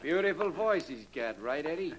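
A man's voice: on the high side, very animated, moderate pace. An actor playing a vain, mocking American henchman in a late 1940s radio crime drama, gloating in slangy talk. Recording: noisy vintage radio recording.

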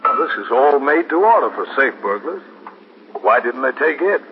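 An American man playing a calm, methodical police captain in a 1950s radio drama, speaking in a measured, official manner. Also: medium pitch, close to an everyday voice. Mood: probing, calm. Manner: measured, matter-of-fact, official. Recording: muffled, noisy 1950s radio recording.

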